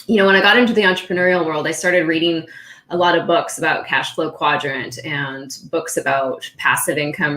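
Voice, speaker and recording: A woman's voice: low voice, bright, forceful tone. A middle-aged woman, born in Austria and raised in California, telling her story as a podcast guest in an upbeat, chatty way with frequent laughs. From born in Austria, grew up in California. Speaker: podcast guest, social media marketer. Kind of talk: casual interview talk.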